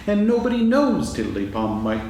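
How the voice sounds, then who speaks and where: A man's voice: sits high, huge pitch swings, normal speed, a little mellow. A man playing a simple, earnest, musical teddy bear in a staged reading of a children's story, musing and sometimes lilting into singsong. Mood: singsong humming. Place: stage before an audience, seated reading. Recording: distant echoey stage recording, faint noise.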